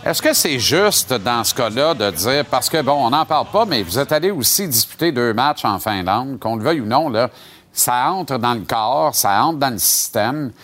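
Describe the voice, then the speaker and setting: A man's voice: medium pitch, animated, moderate pace, a bit bright. A man with a Quebec French accent hosting a casual sports interview, colloquial and opinionated. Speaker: sports show host interviewing a guest.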